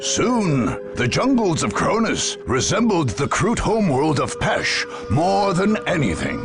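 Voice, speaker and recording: A grave male chronicler narrates a war saga over clear background music. His delivery is slow and theatrical, with a gravelly edge and dramatic rises and falls suited to a game cutscene. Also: a little high, wildly swooping pitch, full character voice. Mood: grave, proud. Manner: solemn, measured, theatrical.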